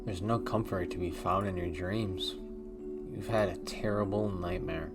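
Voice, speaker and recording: A man reading a text horror game aloud in a hushed, measured way with a wondering, uneasy tone. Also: deep voice, steady tone, loud music behind the voice. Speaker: let's play host.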